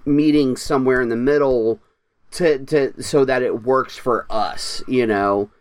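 A man co-hosting a casual podcast, talking earnestly as a parent of a young son, with quick bursts and restarts. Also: mid-range voice, steady tone. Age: young adult or middle-aged, parent of a six-year-old. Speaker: podcast co-host. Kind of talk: casual chat.

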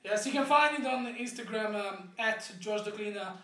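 A podcast co-host of unclear sex chatting casually over beer in a halting, repetitive, joking way. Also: mid-range voice, pushed and bright. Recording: echoey room, distant from microphone.

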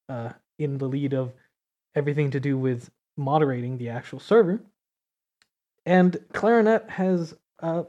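A man's voice: fairly high pitch, mellow. A man hosting a community podcast, casually reading out news and listener questions in an upbeat way. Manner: upbeat, laughs often, reads news and questions. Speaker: podcast host.

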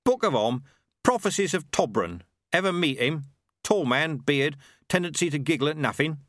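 A man voicing Ronnie, a boastful, touchy former horseman turned milkman, in a storybook reading with a lively, much higher voice. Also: normal speed, no room sound, studio quality, natural voice. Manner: chatty, boastful, sour at times.

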